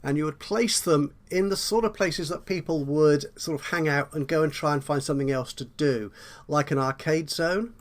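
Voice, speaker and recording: A man's voice: on the high side, moderate pace. A man giving an unscripted tutorial commentary on a video game, explaining step by step with hesitant asides and self-corrections. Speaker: tutorial host.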